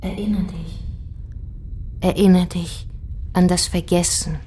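An actress playing a mysterious beckoning dream figure in a radio play, with a breathy, hushed, incantatory delivery. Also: female voice, lowish voice, steady tone, a little slow. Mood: beckoning, hypnotic. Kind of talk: poetic radio play monologue. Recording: studio radio drama with music underneath. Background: music.

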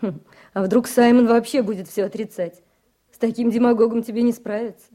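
An actress playing a judge's frank, concerned adult daughter in a radio play, questioning and direct. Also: female voice, a little high, steady tone, a little fast, mellow, close to an everyday voice.

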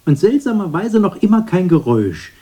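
A man's voice: high voice, sing-song, brisk, dark tone, slightly rough, full character voice. An actor in a radio drama playing a haunted, bitter brother who recalls his cruel childhood in brooding, broken phrases. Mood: brooding, remembering.

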